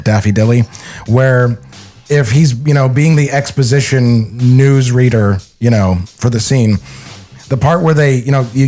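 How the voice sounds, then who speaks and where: A man's voice: medium pitch, warm tone. A man co-hosting a podcast, chatting casually with joking interjections. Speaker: podcast co-host.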